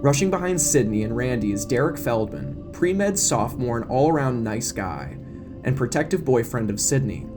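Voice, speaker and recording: A man's voice: medium pitch, animated, normal speed, over music. A man reading scripted, dramatic audio story narration as the narrator.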